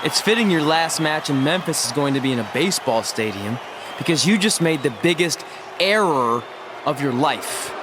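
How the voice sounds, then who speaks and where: A man's voice: a little high, moderate pace, crowd noise, background noise, close to an everyday voice. A young man playing a cocky, defensive pro wrestler in a video game cutscene. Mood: defiant. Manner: animated, indignant.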